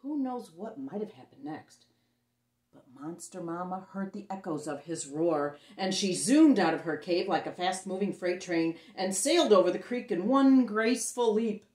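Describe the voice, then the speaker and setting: Expressive middle-aged woman reading a storybook, roomy sound. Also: mid-range voice. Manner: expressive, theatrical emphasis with dramatic pauses. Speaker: children's storyteller reading a picture book aloud. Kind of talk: dramatic read-aloud for young children. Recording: roomy echo on the voice.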